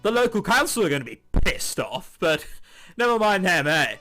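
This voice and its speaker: A man's voice: high voice, very animated, moderate pace, bright, forceful tone. A man reading a visual novel aloud, with sarcastic asides and swearing. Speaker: let's play host. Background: music.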